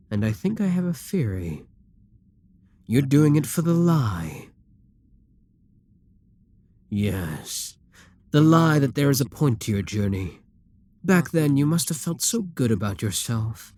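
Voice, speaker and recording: An arrogant demon king, acted by a man, speaks at a very unhurried pace in a mid-range male voice. He is commanding and seductive, with a theatrical, manipulative delivery suited to a dramatic ASMR roleplay. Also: mid-range voice, mellow, no room sound. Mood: mocking, probing. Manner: deliberate, haughty, theatrical.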